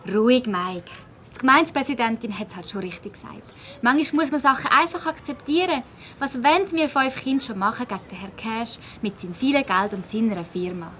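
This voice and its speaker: A woman's voice: a little high, brisk, fairly bright tone, a little hiss or hum, close to an everyday voice. A woman with a Swiss German accent playing a cautious, resigned child in a children's audio drama.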